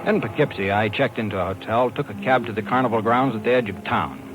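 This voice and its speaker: An actor playing a brash, persistent, inquisitive talent agent, narrating and acting in hardboiled 1950s radio style. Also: male voice, fairly low pitch, quick pace, natural voice, low-quality recording. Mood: wry, brooding. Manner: hardboiled, insistent. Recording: vintage 1950s radio with music behind.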